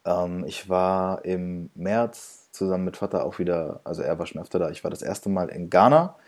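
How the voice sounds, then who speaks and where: Low-voiced relaxed male podcast host. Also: moderate pace. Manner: relaxed, laughs often, loose restarts. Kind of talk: casual interview chat.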